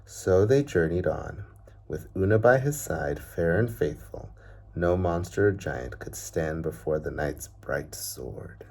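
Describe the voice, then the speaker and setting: A man reads a storybook aloud to children, with measured, deliberate phrasing that often trails off softly at the ends of lines. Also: low voice, animated, vocal fry.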